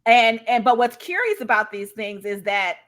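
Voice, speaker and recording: Bright-voiced, emphatic woman in discussion. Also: on the high side, expressive, moderate pace. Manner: emphatic, often checks in with "right?". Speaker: guest speaker on a live panel. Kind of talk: impassioned conversational discussion of personal experience. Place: live online video call.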